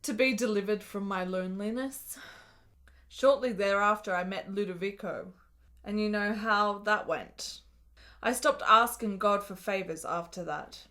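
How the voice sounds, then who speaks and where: An actress playing an ailing, lonely, sharp-witted woman of the 1870s in a radio drama, speaking in measured, literate, wry phrases. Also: mid-range voice, lightly acted. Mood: wistful, wry. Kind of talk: period radio drama acting.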